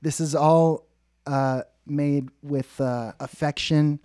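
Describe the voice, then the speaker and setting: A young man co-hosting a comedy podcast, joking and laughing often, with a slightly raspy voice. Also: fairly high pitch, steady tone, slow pace, mellow, no echo, clean studio sound. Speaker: podcast co-host. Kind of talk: casual comedic podcast banter.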